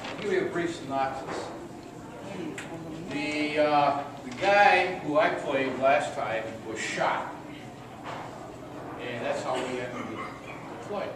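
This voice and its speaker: Older male host, slightly high voice, chatty and rambling, very reverberant live room. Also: distant mic. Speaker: host and narrator of an amateur radio play. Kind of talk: informal introduction to an audience. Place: large echoey room before a live audience. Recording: very echoey noisy hall, off mic.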